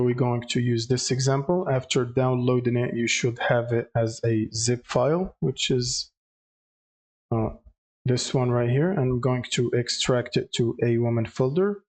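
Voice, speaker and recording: Man walking through a software tutorial. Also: mid-range voice. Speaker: tutorial presenter. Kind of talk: step-by-step software tutorial narration.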